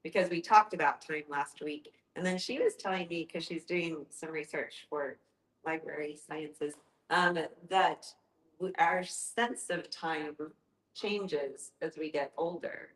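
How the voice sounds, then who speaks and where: A woman's voice: low-pitched, a little slow. A woman moderating a casual group discussion in an informal, conversational way. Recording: echoey room.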